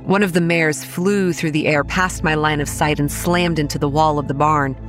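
An actress playing a haunted young woman, narrating childhood horrors in a solemn, deliberate first-person storytelling style. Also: low voice, fairly even intonation, faint music behind, close to an everyday voice. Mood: tense, grim.

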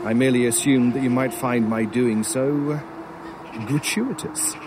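An actor playing an urbane, sardonic crow in an audio drama, wordy and mockingly polite in a pompous way. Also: male voice, mid-range voice, a little slow, close to an everyday voice. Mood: fastidious, amused. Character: urbane, sardonic male talking crow, gleefully macabre scavenger. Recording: clear outdoor noise with cawing crows. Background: outdoor ambience, crows, vehicles.

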